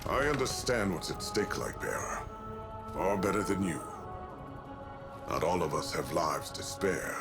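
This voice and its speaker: An actor playing a hard-bitten, no-nonsense soldier in a video game, clipped and stern. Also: male voice, sits low, lively intonation, a little slow, pauses often, music in the background. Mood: stern, impatient. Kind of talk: cinematic video game acting. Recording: score behind the voice.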